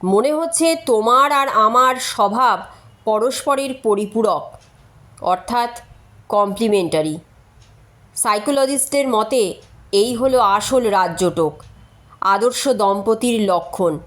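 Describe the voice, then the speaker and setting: A woman's voice: a little high, lively intonation, slow, long pauses, a bit bright. A woman narrator voicing an earnest, overly serious educated man reading his formal letter in a storybook. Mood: earnest, solemn.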